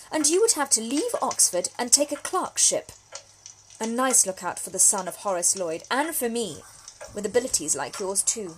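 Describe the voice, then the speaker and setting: A woman's voice: mid-range voice, slight background noise, close to an everyday voice, rough recording. A woman playing a genteel, frank young Victorian letter writer in an audio drama monologue, speaking with formal, precise diction. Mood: indignant. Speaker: actor reading a solo audio drama. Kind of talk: period monologue, letters read aloud.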